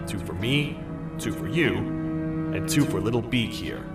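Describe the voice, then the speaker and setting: An actor playing a massive, gruff toad sheriff who talks like a stern frontier lawman. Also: medium pitch, expressive, unhurried. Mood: grim. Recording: echoey game audio from a distance, light music.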